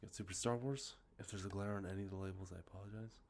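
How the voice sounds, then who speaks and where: A man with a congested, sniffly nose, talking softly and rambling, with many fillers, as an ASMR host showing off games he collected. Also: deep voice, fairly even intonation, racing, a little hiss or hum. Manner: rambling, many ums and uhs, self-correcting. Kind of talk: soft-spoken show-and-tell about collected video games. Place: outdoors with traffic nearby.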